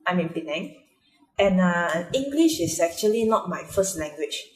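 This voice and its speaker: A woman's voice: fairly low pitch, big pitch swings, normal speed. A young Malaysian woman with a Malaysian accent, Mandarin her first language, talking hesitantly in a personal vlog with many fillers and restarts. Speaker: vlogger. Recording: echoey room.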